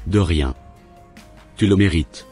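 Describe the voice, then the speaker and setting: A man playing a wayward, sheepish young swindler with a husky voice in an amateur cartoon, music behind him. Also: low voice, slow pace, dark tone. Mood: pleased. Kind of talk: amateur animated drama acting. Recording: background music.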